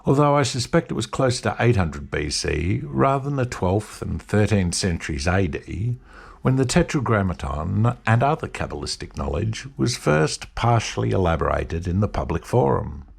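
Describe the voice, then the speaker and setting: A man giving a measured, scripted lecture-style narration as a podcast host. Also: fairly low pitch, sing-song, gravelly, slightly rough. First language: English.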